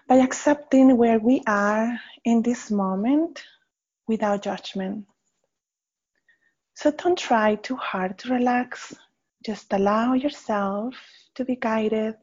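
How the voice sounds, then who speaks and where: A woman leading a guided meditation in a gentle, unhurried manner with pauses between short phrases. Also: mid-range voice, husky. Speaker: meditation guide, faculty member. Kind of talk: guided body scan meditation. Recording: slightly dull, muffled audio.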